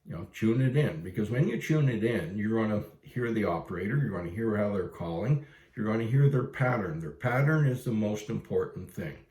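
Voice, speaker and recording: Low-pitched Canadian man in a roomy space. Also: animated, fairly quick, a little mellow. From Ontario, Canada. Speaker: amateur radio video presenter. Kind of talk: casual explainer for new hobbyists.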